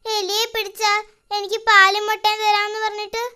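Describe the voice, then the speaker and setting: A performer voicing a bossy, demanding, hungry tomcat henchman in a children's cartoon, with a squeaky childlike voice. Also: completely flat delivery, normal speed, pushed and bright, heavily acted. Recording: music behind the voice.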